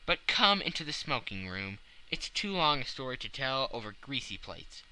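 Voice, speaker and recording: A weary, hungry Victorian gentleman adventurer from a classic audiobook, played by a male narrator. He speaks earnestly and insistently, pressing his words. The tone is very bright and forceful, with animated intonation. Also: medium pitch, normal speed, a little hiss or hum, poor audio. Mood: apologetic. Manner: emphatic, earnest. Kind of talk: audiobook character voice.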